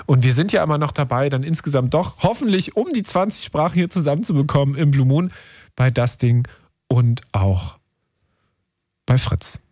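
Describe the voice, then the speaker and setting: A man's voice: a little high, animated, moderate pace, darkish tone. A young man hosting a radio call-in show, chatting informally to listeners in youthful slang sprinkled with English words. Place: dry radio studio.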